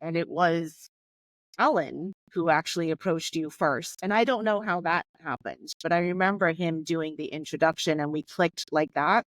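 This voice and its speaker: A woman's voice: sits low, moderate pace, fairly bright tone, dry recording, rough recording. Candid woman guest, dry sound. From Hong Kong. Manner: candid and reflective, telling her life story. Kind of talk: conversational podcast interview.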